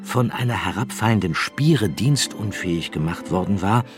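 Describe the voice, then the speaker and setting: A man narrating a literary radio drama in a measured storytelling manner. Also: fairly low pitch, animated, music in the background.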